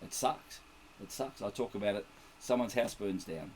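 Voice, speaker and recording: A middle-aged Australian man with a croaky voice, a trumpet teacher talking earnestly and encouragingly in a direct video message, rambling with restarts. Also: fairly low pitch, animated, moderate pace, slight background noise, rough recording.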